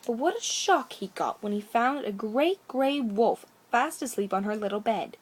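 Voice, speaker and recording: A woman's voice: a little high, lively intonation, normal speed, husky. A woman storyteller reading a children's bedtime story aloud with expression.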